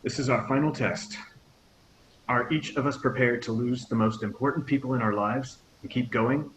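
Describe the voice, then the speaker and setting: A man's voice: mid-range voice, fairly even intonation, normal speed, slight background noise, close to an everyday voice, low-quality recording. A man playing a stoic starship captain. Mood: commanding. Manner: formal, speech-like address. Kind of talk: table read acting.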